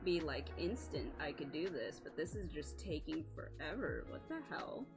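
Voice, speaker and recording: A woman's voice: deep voice, lively intonation, moderate pace, music in the background. A woman streaming live with a scratchy voice, chatting casually, apologizing often, swearing and laughing a lot.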